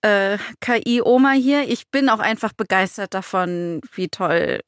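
A woman's voice: mid-range voice, expressive, a little slow, clear, resonant tone, no echo, studio quality. A woman co-hosting a podcast in casual chat, with an enthusiastic, self-deprecating manner. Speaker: podcast co-host.

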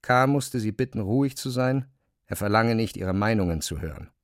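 A man's voice: fairly low pitch, lively intonation, normal speed. A man reading scripted literary narration as the narrator of an audio drama.

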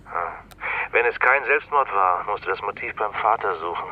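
An actor playing a shrewd, easygoing newspaper journalist on the telephone in a radio drama, teasing and familiar in manner. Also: male voice, fairly low pitch, lively intonation, normal speed, highs cut off, lightly acted. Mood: wry, familiar. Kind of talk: naturalistic radio drama acting. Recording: voice on telephone, faint noise.

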